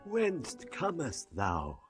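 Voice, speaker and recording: A measured, hospitable Israelite householder. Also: male voice, medium pitch, huge pitch swings, soft background music, full character voice. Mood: welcoming, measured. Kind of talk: dramatized scripture reading.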